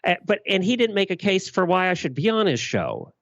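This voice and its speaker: Male podcast guest, emphatic, animated intonation, dry close sound, conversational. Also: high voice, a little fast, gravelly, no room sound. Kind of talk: conversational interview answers.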